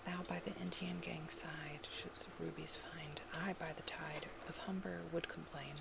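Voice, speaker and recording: A woman whispers poetry slowly and gently in an intimate ASMR style. Her breathy voice stays subdued in range and frays into creak. Loud crackling ambience runs behind her. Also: low voice, fairly even intonation. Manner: slow, gentle, intimate delivery. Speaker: ASMR roleplay host reading love poems. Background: fireplace ambience, scratching and tapping.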